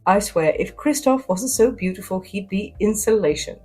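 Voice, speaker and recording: A woman's voice: mid-range voice, animated, moderate pace, warm tone, breathy edge, soft background music. A woman playing an arch, teasing, imperious socialite spy in a science fiction audio story. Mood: sly. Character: flirtatious, imperious socialite woman spy, sly and self-assured. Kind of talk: first-person sci-fi spy audio fiction.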